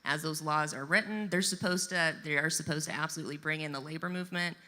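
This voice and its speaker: Low-voiced, bright woman debating. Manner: brisk, conversational, with fillers. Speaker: political candidate. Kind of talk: debate answer. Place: live debate in a large event venue. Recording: long reverb in a large venue.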